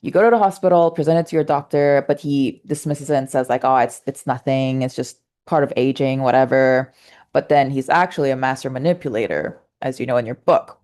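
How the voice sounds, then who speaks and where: A woman with a low-pitched voice hosts a casual interview. She laughs easily and fills her questions with hesitations. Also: normal speed, darkish tone. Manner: laughs easily, speaks with many fillers. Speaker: interview host.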